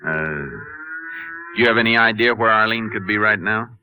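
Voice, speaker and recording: A man playing a calm, laconic Texas Ranger in a vintage American radio drama, questioning in terse, measured sentences. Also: lowish voice, clean tone. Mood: calm, businesslike. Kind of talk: 1950s radio drama acting and first-person narration. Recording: old radio drama recording.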